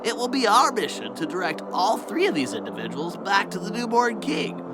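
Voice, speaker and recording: Man playing an animated, pompous angel commander. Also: sits high, fairly quick, loud music behind the voice. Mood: businesslike, huffy. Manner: pompous, formal, wordy. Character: officious, self-important male angel squad leader. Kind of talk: family audio drama acting.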